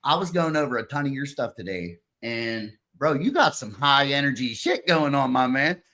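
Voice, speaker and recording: Excitable, rambling podcast host man. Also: mid-range voice, normal speed. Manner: excitable, rambling, says "dude" and "bro". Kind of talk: casual chat. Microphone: video call.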